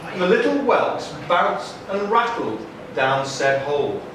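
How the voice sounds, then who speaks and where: High-pitched male storyteller, echoey hall. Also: very animated, measured pace. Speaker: storyteller, narrator. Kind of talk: live storytelling for children's puppet show. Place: large room, live audience. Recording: echoey hall, far from mic, noisy.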